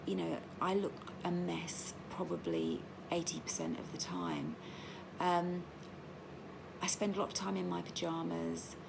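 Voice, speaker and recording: Tired middle-aged lady vlogging from home, really gravelly lowish voice, lots of ums. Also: sing-song, noisy background. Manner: slow, halting, many ums and restarts. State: in pain, tired. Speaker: patient sharing her experience. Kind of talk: casual personal vlog.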